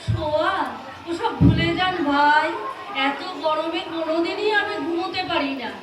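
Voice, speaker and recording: A woman acting a naive, chatty young bride in a comedy audio play, speaking Kolkata Bengali in an unhurried, guileless way. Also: very high-pitched, fairly bright tone, faint music behind, some chatter behind. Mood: naive, protesting. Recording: very echoey, distant, clearly noisy.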